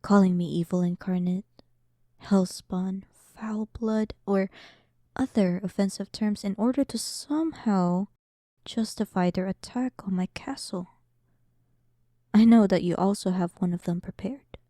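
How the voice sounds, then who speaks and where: A woman's voice: medium pitch, unhurried, warm tone, dry recording, studio quality, close to an everyday voice. A woman voicing an arrogant, sarcastic demon queen in a roleplay audio drama, teasing and condescending, often dropping toward a whisper. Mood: taunting, amused.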